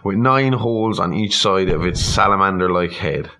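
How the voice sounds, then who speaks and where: A man's voice: low voice, moderate pace, pushed and bright. A man hosting a casual chat, retelling a story in a rambling, irreverent way with humorous asides and some swearing. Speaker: podcast host.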